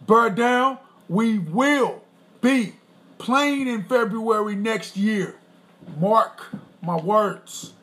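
A man co-hosting a podcast with his wife, talking in a loose, boastful, rambling way and clearing his throat often. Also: high voice, drawn-out words, a bit bright. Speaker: podcast co-host. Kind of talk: casual chat.

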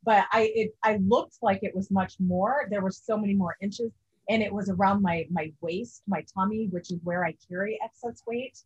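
A woman's voice: mid-range voice, fairly even intonation, moderate pace, slightly rough, highs cut off. A woman in her forties, a guest in a casual interview, speaking earnestly. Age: 40s.

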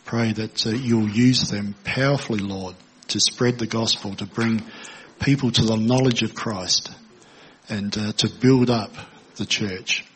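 A man leading a prayer in church before introducing guest speakers, speaking in an earnest, measured way. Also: fairly low pitch, steady tone, fairly bright tone, a little hiss or hum, rough recording. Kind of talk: spoken prayer.

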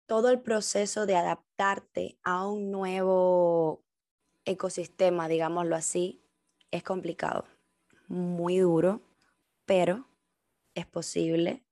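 A young adult woman from Cuba with a Cuban accent, hosting a solo podcast in a reflective, heartfelt way with thoughtful pauses. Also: lowish voice, level tone, a little mellow, no echo, studio quality. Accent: Cuban Spanish. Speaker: podcast host.

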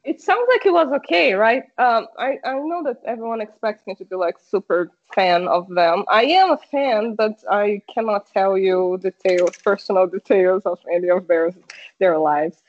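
A Brazilian woman with a Portuguese accent, chatting as a podcast guest and often stopping to hunt for the right English word. Her voice sits at a middle pitch. The audio is dull and slightly lo-fi, with a dry, close sound and no room echo. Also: animated, normal speed. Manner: hesitant, searching for English words. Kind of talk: casual chat. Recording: muffled lo-fi call audio.

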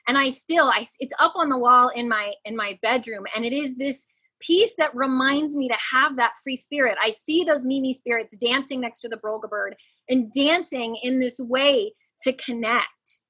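Bright high-voiced woman, animated and laughing. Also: moderate pace, breathy edge, poor audio. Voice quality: higher-pitched, youthful-sounding. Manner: expressive, laughs often, animated. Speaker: coach. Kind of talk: casual podcast conversation.

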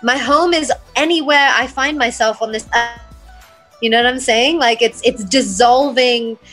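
A woman's voice: on the high side, fairly bright tone. A woman from England and the United States, talking earnestly and reflectively as a guest in a conversational interview. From born in England, raised there and in the United States. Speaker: podcast guest, mindset coach and yoga teacher.

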